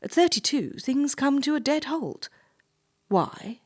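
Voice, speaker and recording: An actress playing a clinical, pedantic female job interviewer in a stage play, with a clipped, probing and deliberate manner. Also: sits high, sing-song, darkish tone, exaggerated voice. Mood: probing, condescending.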